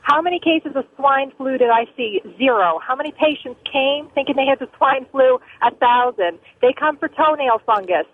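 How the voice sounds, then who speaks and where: A woman's voice: sits high, animated, moderate pace, hardly any pauses. A middle-aged woman calling a radio show by telephone, talking earnestly in short, punchy, opinionated sentences over a narrow line. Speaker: call-in listener, family physician. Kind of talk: earnest opinionated call-in talk. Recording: narrow tinny phone line.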